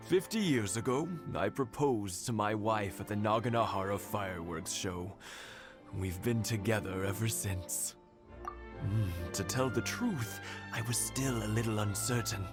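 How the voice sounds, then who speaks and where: A man playing a courteous, genial elderly village husband in a video game, speaking deliberately. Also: fairly low pitch, music in the background, lightly acted. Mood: reminiscing.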